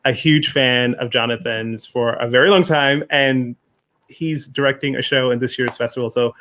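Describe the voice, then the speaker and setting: A man hosting an online festival conversation in an informal, halting way, speaking over a remote call. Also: mid-range voice, fairly even intonation, pushed and bright. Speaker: host, festival producer.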